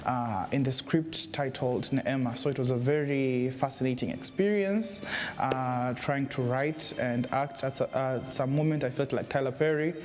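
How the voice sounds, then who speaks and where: A man from Tanzania, a writer and actor, speaking in a friendly, slightly halting way in a documentary interview. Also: mid-range voice, darkish tone.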